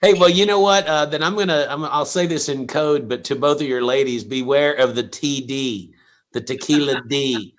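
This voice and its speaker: A middle-aged man hosting a comedy livestream, chatting in a rambling, excitable way with lots of stammered restarts. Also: mid-range voice, moderate pace. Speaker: comedy show host and tequila promoter. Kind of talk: casual banter on a livestream.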